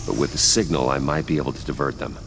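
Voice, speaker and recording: A man playing a grave, determined vigilante detective in a video game, speaking in measured, terse English. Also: extremely low pitch, fairly even intonation, fairly bright tone. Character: grave, determined adult male vigilante detective, low and controlled. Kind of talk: dramatic video game acting. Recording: clearly noisy game audio.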